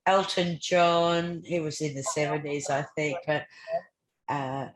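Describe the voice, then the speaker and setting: An older Australian woman with a low-pitched voice, recorded in an echoey room. She talks casually as a podcast host, in a chatty way, doubling back on her words. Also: steady tone, slow, a little hiss or hum. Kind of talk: casual conversation. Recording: roomy, echoey sound.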